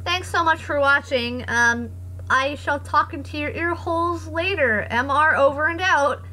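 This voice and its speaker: Young woman, high voice, hoarse, rambling and sarcastic, live-stream chat. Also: unhurried, sharp tone, low-quality recording. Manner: self-described sarcastic, rambling and chatty. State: hoarse after voice acting. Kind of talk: casual live-stream chat, reading comments aloud.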